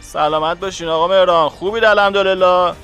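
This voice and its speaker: Male security chief played by an actor, audio drama: obliging, formal, smooth and notably high-pitched. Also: fairly even intonation, fairly bright tone, soft background music. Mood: courteous.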